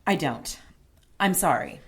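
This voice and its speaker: A woman's voice: low-pitched, animated, unhurried, creaky, heavily acted. A woman playing a down-to-earth, sceptical ally of a detective, blunt and wry, in a radio drama. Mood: doubtful, exasperated.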